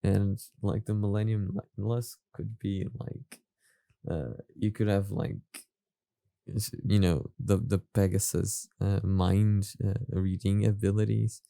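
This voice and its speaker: A man hosting a reaction video, commenting casually and thinking out loud in a halting, hesitant way. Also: on the low side, little pitch movement, vocal fry, no echo.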